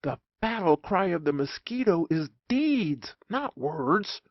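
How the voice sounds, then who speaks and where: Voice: medium pitch, very animated, slow, no room sound, lo-fi sound. A narrator voicing a grave, stoic older uncle in a comic audiobook, solemn and declaiming. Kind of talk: comic audiobook character acting.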